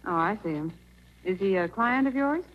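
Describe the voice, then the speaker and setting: An actress playing a perky, curious detective's assistant in a 1940s radio drama. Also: female voice, mid-range voice, lively intonation, moderate pace, lightly acted. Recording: very noisy, tinny 1940s radio recording.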